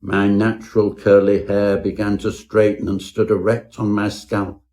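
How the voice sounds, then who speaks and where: Man performing a low-voiced pompous Edwardian gentleman storyteller. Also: flat delivery, moderate pace, natural voice. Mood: haunted dread. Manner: pompous, florid, melodramatic. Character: pompous Edwardian professor narrating his own horror tale. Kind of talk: scripted parody Victorian ghost story narration.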